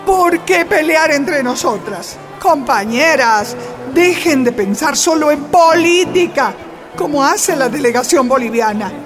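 A woman's voice: high-pitched, lively intonation, measured pace. An actress playing a self-important, condescending woman government official in a radio drama. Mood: exhorting. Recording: music behind.

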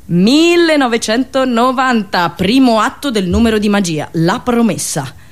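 A woman performing a satirical storytelling monologue, dramatic and ironic, with pauses and drawn-out words. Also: a little high, animated. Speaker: radio host and monologist.

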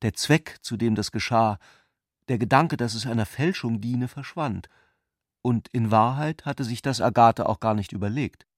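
A man narrating a literary radio play in measured, carefully phrased long sentences. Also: medium pitch, no echo, clean studio sound, natural voice.

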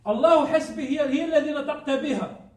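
High-voiced man teaching in an echoey room. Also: brisk, a little mellow, slight background noise. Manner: quizzes the class with repeated prompt questions. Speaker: grammar teacher. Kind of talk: classroom lesson. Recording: echoey room, distant mic.

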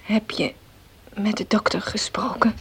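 An actress playing a gentle, devout, ailing wife in a period radio drama, speaking softly and solemnly with a frail, breathy voice. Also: female voice, mid-range voice, fairly even intonation. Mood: weak, quiet. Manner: slow, soft, tender, solemn.

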